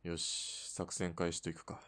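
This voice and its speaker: An actor playing a laconic, easygoing yakuza sniper in a radio drama, with offhand, rough masculine speech. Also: male voice, deep voice, monotone, a little slow, fairly bright tone. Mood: hushed, focused. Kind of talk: Japanese radio drama acting. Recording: clear vehicle and ambience noise.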